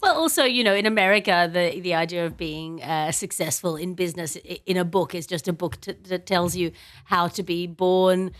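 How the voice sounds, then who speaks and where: A woman's voice: on the low side, normal speed, dry recording, studio quality. A woman hosting a comedy panel podcast, chatting in a wry, digressive and mock-grandiose way. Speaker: podcast host.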